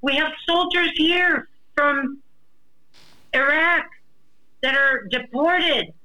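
A woman's voice: high voice, takes it slowly, bright, forceful tone, rough recording. A woman from the United States giving impassioned personal testimony as a guest, emphatic and repeating phrases for weight. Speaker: interview guest, wife of a deported veteran.

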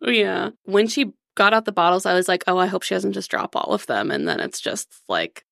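A woman with noticeable vocal fry co-hosts a casual movie recap show. She is giggly and conversational, moving between summary and chat. The sound is close and dry. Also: mid-range voice, sing-song, brisk, a bit bright, no echo. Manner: giggly, laughs often. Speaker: podcast co-host.

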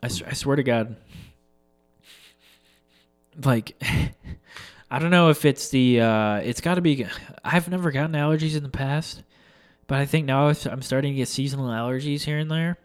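A man's voice: medium pitch, moderate pace, long pauses. A young man telling a casual, rambling story with lots of fillers and swearing, sounding a little congested from allergies.

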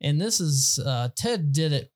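A man's voice: fairly high pitch, expressive, a little slow. A man co-hosting a casual comedy podcast, joking and rambling with restarts. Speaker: podcast co-host.

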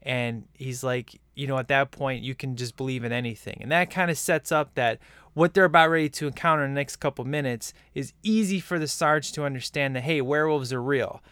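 A man's voice: medium pitch, brisk, a bit bright, clean tone, dry recording. A man co-hosting a casual movie-review chat, rambling and enthusiastic with lots of fillers. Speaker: podcast co-host reviewing a film.

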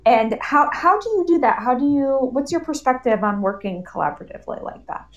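A woman's voice: sits high, lively intonation, brisk, muffled recording. A woman hosting a live panel discussion in a conversational style. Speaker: panel host.

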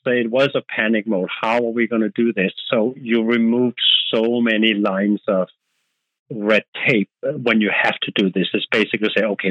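A man's voice: medium pitch, a bit bright, no echo, muffled recording. A man from Denmark with a Danish accent, talking through his answers as a podcast guest, with plenty of fillers and restarts. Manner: hesitant, thinks aloud with many fillers and restarts. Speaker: guest, marketing director. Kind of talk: casual podcast interview.

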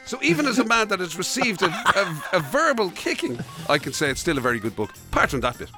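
An Irish man banters casually and comically as a radio co-host. His voice sits slightly high and moves very animatedly in pitch. Faint music plays behind him. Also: sing-song, quick pace. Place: radio studio.

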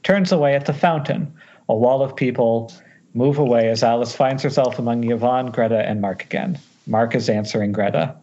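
A man's voice: mid-range voice, normal speed, warm tone, slight background noise. A man reading scripted stage directions as narrator at an amateur table read. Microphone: Skype call. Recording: dull lo-fi internet call.

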